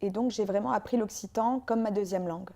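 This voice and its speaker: A woman from France, a guest author and poet, talking in an interview and pausing often with hesitant fillers. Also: mid-range voice, vocal fry, warm tone. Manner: hesitant, frequent filler pauses. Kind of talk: interview conversation.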